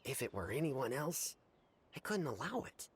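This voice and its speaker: Man as animated, courteous young retainer. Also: medium pitch, normal speed, a little hiss or hum, natural voice. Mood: polite, welcoming. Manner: polite, eager, accommodating. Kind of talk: video game voice acting.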